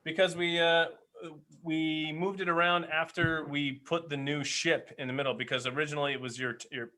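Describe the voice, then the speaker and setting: Man bantering casually, bright forceful voice. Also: on the high side. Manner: jokey, teasing. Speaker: podcast co-host.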